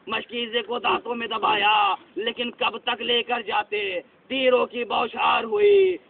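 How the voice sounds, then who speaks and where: A man reciting a lamenting religious narration, shouting and wailing with deep feeling. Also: creaky. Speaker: majlis reciter. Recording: tinny phone-like with faint noise.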